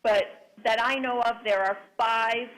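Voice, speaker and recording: A woman's voice: on the high side, fairly even intonation, a little slow, a bit bright, poor audio. Spanish-native woman panelist. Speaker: panelist, clinical psychologist. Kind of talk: answering a panel question remotely.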